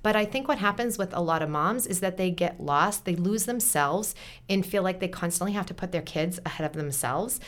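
A woman's voice: lowish voice, fairly quick. A woman in her forties with a Boston-area accent, chatting earnestly as a podcast guest and giving encouraging, motivational advice. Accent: Boston-area American. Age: 40s. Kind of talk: casual chat.

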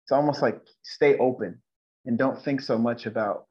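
A man's voice: mid-range voice, normal speed, slightly rough. A man, a designer and mentor, talking as a guest in a casual interview, giving earnest advice with many restarts and uses of "like". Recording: dull lo-fi audio.

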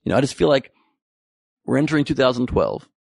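A man's voice: medium pitch, expressive, quick pace, vocal fry, darkish tone, slightly rough, no echo, studio quality. A young man giving a comedic, profane monologue about the news with joking asides. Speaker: comedy commentary host.

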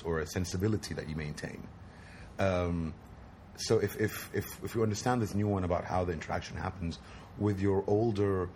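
A man's voice: low voice, darkish tone. A male podcast host interviewing a guest outdoors, hesitant and full of fillers and restarts. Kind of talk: casual interview. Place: outdoors at an art fair, wind and music around. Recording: noisy outdoor recording. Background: wind and background noise.

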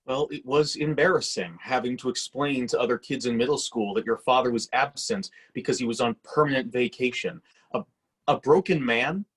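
An actor playing a weary, resentful grown son in a dramatic table read, with a slightly husky voice and a halting delivery. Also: male voice, medium pitch, fairly bright tone. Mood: sarcastic, hurt. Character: resentful, weary grown son confronting his absent father. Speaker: actor in a screenplay reading.

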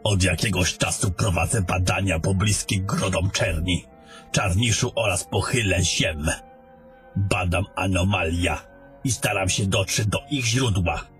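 A man's voice: sits low, a little slow, a bit bright, soft background music. A man playing a scornful, domineering battle mage in a fantasy audio drama, with a commanding and coarse way of talking. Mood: matter-of-fact.

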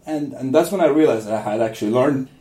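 A man from Uruguay, a native Spanish speaker, answering interview questions in a relaxed, rambling way with many restarts. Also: medium pitch, fast talker, echoey room. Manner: rambling, frequent restarts and fillers. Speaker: guest.

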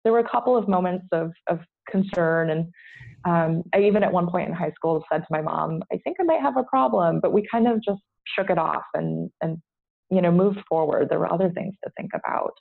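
An American woman with a creaky, husky voice shares her story as an interview guest. She talks candidly and haltingly, rephrasing as she goes. The sound is slightly dull, as if over a remote line. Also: on the low side. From United States. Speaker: podcast guest. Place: at home, remote podcast call.

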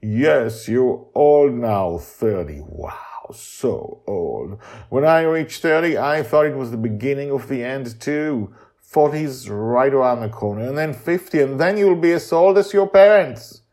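A man voicing a doting grandmother in a story, teasing and cooing with drawn-out amusement. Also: medium pitch, animated, a little mellow, voice pushed up.

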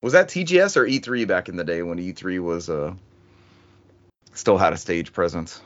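A man's voice: low voice, animated, normal speed, no room sound, lo-fi sound. A man hosting a casual gaming news chat in a joking, sometimes profane way. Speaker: podcast host.

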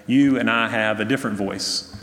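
A man serving as pastor, giving a measured, earnest sermon to his congregation, with frequent fillers. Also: on the low side, fairly bright tone, a little hiss or hum. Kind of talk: sermon with pastoral prayer concerns. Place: church sanctuary. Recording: long church reverb.

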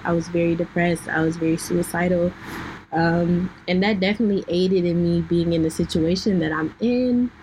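A young woman hosting her own podcast, losing her voice and clearing her throat, talking candidly to listeners with many fillers. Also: lowish voice, a little mellow, poor audio. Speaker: podcast host. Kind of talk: personal talk to listeners. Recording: faint background noise.

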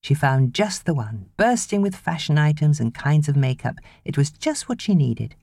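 A woman narrating an audiobook novel in an expressive, measured storytelling manner. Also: low voice, dry recording.

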